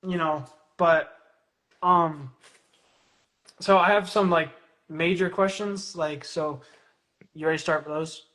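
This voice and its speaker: A man's voice: a little high, fairly even intonation, slow pace, long pauses, sharp tone. A young man hosting a casual phone-streamed interview, hesitant and full of fillers. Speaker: interviewer host. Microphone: phone on a live stream.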